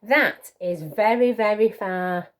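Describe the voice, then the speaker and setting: A woman voicing a big, loving grown male hare in a storybook, gently playful, with fond, emphatic phrasing. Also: medium pitch, slow, lightly acted.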